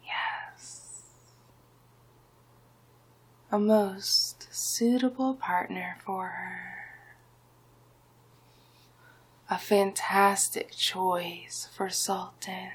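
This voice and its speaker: A woman voicing a smug, domineering male sorcerer villain in an ASMR roleplay, breathy and often whispering, with a deliberate, hypnotic delivery. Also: mid-range voice, heavily acted. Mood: smug, gloating. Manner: slow, deliberate, gloating, hypnotic.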